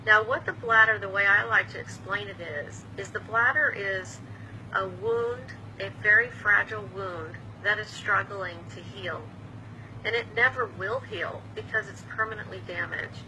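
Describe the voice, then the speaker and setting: A woman's voice: mid-range voice, moderate pace, bright, forceful tone, slight background noise, rough recording. A woman in her fifties, a patient advocate, explaining an illness to camera in a plain, patient way with small restarts. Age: 50s. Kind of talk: earnest explanatory talk to camera.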